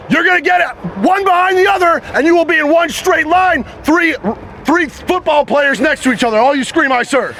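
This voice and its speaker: A male military drill instructor barking shouted commands in a strained, gravelly voice. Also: very high voice.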